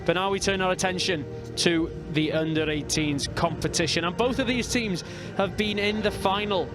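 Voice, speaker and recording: A man from North Wales with a North Welsh accent, calling a rugby match as live commentator in a measured, steady way. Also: high-pitched, over music. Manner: measured, reads out team lines steadily.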